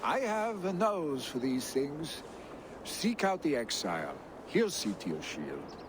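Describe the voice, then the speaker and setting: Animated, confident young Viking heir. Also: male voice, a little high, takes it slowly, background noise. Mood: assured. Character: confident, warm-hearted Viking jarl's son, a loyal friend. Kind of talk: video game cutscene acting.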